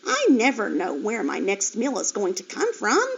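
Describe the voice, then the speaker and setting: A woman's voice: mid-range voice, wildly swooping pitch, normal speed, creaky, slightly rough, exaggerated voice. A woman voicing a chatty, worldly female farm animal in a storybook reading. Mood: knowing. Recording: dull lo-fi recording.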